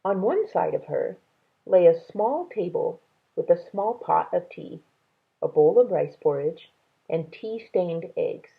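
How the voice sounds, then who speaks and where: Slow, low, husky woman reading aloud. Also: expressive. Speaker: read-aloud storyteller. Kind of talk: reading a children's novel aloud. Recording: band-limited, tinny sound.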